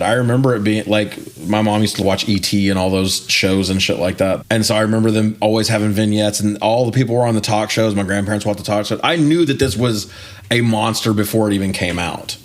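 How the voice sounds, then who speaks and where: A man in his forties with a fairly low voice talks as a film podcast co-host. His delivery is informal and conversational, with loose storytelling, casual swearing and a joking streak. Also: a little fast. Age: 40s. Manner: informal, profane, jokey storytelling. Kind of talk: casual film review chat.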